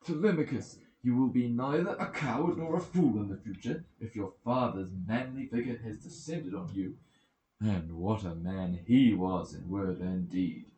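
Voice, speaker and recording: A man's voice: fairly low pitch, normal speed, warm tone, natural voice. A man voicing a goddess disguised as a wise old male mentor in an epic audiobook, encouraging and emphatic. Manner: emphatic, commanding, reassuring. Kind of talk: dramatic audiobook character reading. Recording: roomy echoing home recording.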